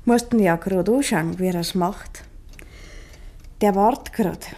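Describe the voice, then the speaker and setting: An actress playing an anxious, probing village wife in a 1950s Bavarian dialect radio play, speaking with grave insistence. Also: female voice, mid-range voice, takes it slowly, mellow. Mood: uneasy.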